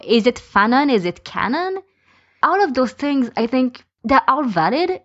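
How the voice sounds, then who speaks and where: A woman's voice: sits high, big pitch swings, unhurried. Woman talking casually on a podcast, opinionated, lots of examples, kinda dull lo-fi sound. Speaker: podcast speaker, fan podficker. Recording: dull lo-fi voice recording.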